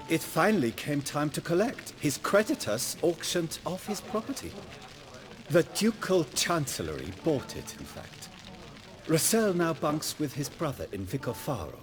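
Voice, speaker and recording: A man's voice: on the high side, very animated, a little slow, crowd noise. An actor playing a gossipy, worldly townsman in a fantasy video game, talking in a knowing way. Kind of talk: video game cutscene acting.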